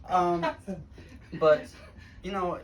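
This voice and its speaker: Komedian remaja laki-laki di panggung. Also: male voice, high-pitched, drawn-out words. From Los Angeles. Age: teens. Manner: deadpan delivery, laughs at own jokes. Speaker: stand-up comedian. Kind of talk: live stand-up set. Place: comedy club stage, live audience. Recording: noisy live room, mic at a distance.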